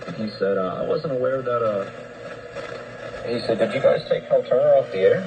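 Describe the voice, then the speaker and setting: A man's voice: medium pitch, expressive, moderate pace. A man co-hosting a radio show, chatting informally and a little hesitantly. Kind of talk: casual radio chat. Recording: noisy shortwave radio broadcast.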